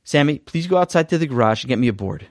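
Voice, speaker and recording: A man's voice: mid-range voice, fast, dry recording, clean studio sound, close to an everyday voice. Man voicing a weary, patient father. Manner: sighing, calm and patient.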